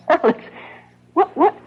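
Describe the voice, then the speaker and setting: An actress playing a practical, skeptical farmer's wife in an old-time radio drama, wry and homely in manner. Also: female voice, takes it slowly, lo-fi sound, natural voice. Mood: puzzled.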